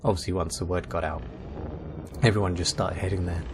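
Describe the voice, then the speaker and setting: Male gaming host, lowish voice, casual guide commentary over faint background noise. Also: steady tone, fairly quick.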